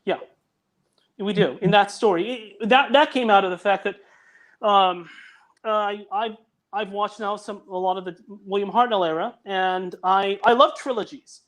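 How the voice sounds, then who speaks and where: A man's voice: sits high, normal speed, a bit bright. A man who writes audio dramas, talking as a guest on a video call and thinking aloud while he explains his story. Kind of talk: casual video chat.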